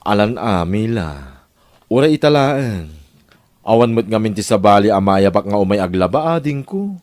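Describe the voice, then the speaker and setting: A man's voice: on the low side, big pitch swings, normal speed, darkish tone, no room sound, clean studio sound. A man playing a persuasive, reassuring older neighbour in an Ilocano audio drama, with a coaxing manner.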